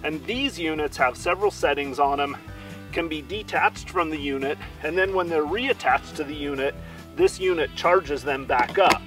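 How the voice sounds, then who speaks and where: A man in his forties giving an enthusiastic, steady walkthrough of camping gear, recorded outdoors at a campsite. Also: fairly high pitch, normal speed, over music. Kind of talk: product review. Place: outdoors in a dry juniper woodland campsite.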